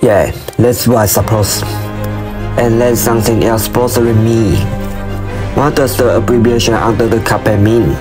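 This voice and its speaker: A man acts a detective's former partner in an amateur radio play. He is earnest and frustrated, full of questions, in a medium-pitched voice. Music plays clearly behind him. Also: moderate pace, natural voice. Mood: earnest, puzzled. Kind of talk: amateur radio drama acting.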